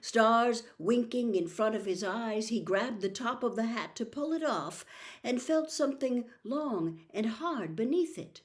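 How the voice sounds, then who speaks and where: A woman reading a storybook aloud as dramatic, suspenseful narration with deliberate, theatrical phrasing. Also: fairly high pitch, animated. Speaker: audiobook reader.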